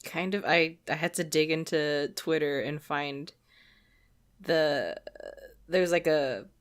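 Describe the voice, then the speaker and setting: A woman's voice: low voice, takes it slowly, clear, resonant tone. A woman co-hosting a podcast, chatting casually about games in an informal, giggly way. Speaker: podcast co-host.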